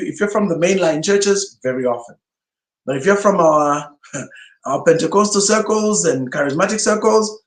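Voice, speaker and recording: A male pastor whose first language is Swahili, giving an emphatic sermon-style teaching talk full of rhetorical questions. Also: high-pitched. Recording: roomy echo.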